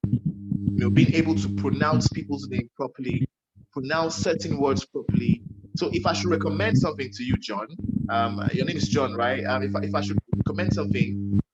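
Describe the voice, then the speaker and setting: A man's voice: medium pitch, lively intonation, normal speed, muffled recording, over loud music. A young man with a Nigerian accent, a radio presenter giving earnest, emphatic advice to a young interviewer and often repeating himself.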